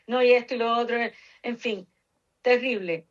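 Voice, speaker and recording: A woman's voice: a little high, normal speed. Older Cuban-born woman with a Puerto Rican lilt, earnest interview guest, muffled lo-fi audio. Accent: Puerto Rican Spanish. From Cuba. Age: 60s. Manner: passionate, earnest, emphatic.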